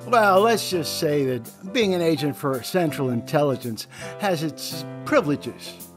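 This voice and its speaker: A smug, boastful intelligence agent in a radio drama. He speaks slowly, flirtatious and hesitant, over clearly audible music. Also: fairly high pitch, lively intonation. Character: smug adulterous male CIA agent, boastful, evasive. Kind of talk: radio play acting.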